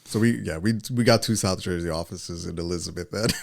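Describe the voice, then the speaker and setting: A man speaking casually as an interview guest, in a halting way, often repeating and correcting himself. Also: sits low, gravelly. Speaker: podcast guest, title company executive. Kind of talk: casual business interview chat.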